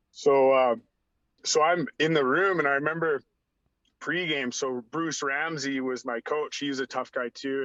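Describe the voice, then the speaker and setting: A man, a podcast guest, telling animated stories with a slightly raspy voice, acting out what people said and filling his talk with "like". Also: medium pitch, no echo. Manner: acts out dialogue, heavy use of "like". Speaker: podcast guest, former hockey enforcer. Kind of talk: animated storytelling. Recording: dull lo-fi sound.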